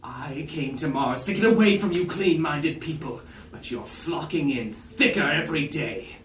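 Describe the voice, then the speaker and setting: A man's voice: on the high side, animated, moderate pace, a bit bright, voice pushed up. A man voicing a flamboyant, vengeful male host of a haunted mansion, declaiming theatrically in a roomy recording from a distant mic. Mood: grandiose. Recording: roomy sound from a distant mic, faint hiss.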